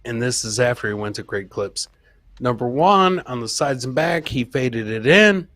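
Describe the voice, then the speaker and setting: A man's voice: mid-range voice, expressive, moderate pace, fairly bright tone, slight background noise. A man hosting a live comedy show, riffing casually on clips in a rambling, profane, jokey way.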